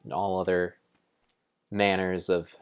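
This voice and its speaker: A man giving an improvised dramatic narration of a game like an adventure storybook, with deliberate, drawn-out phrasing. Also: deep voice, flat delivery, smooth.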